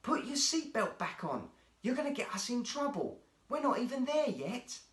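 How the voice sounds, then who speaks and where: A man's voice: extremely high pitch, sing-song, normal speed, a bit bright, pitched above the performer's own voice, full character voice. A man voicing a sensible, cautious schoolboy in a children's story, with an earnest manner. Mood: alarmed scolding. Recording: roomy, echoey sound.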